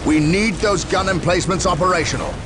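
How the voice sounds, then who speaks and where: An actor playing a stern veteran space marine commander in a video game, with formal, authoritative military diction. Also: male voice, high voice, a little fast, over music, natural voice. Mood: commanding. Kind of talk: video game cutscene acting.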